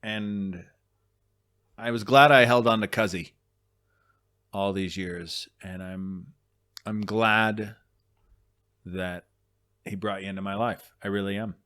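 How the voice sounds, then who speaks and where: A man's voice: fairly low pitch, fairly even intonation, slow, long pauses, fairly bright tone. A man co-hosting a podcast in casual chat, joking and boastful at times, earnest and reflective at others. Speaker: podcast co-host.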